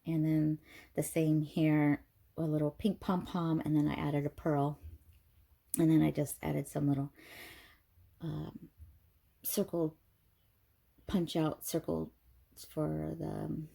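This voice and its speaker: Stuffy-nosed lady rambling thru her craft tutorial, kinda low voice, lots of ums, goes squeaky over cute stuff. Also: creaky, warm tone. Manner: chatty and rambling, many ums, gushes in a high voice over cute things. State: stuffy from allergies, clearing throat. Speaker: craft video host. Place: home craft table.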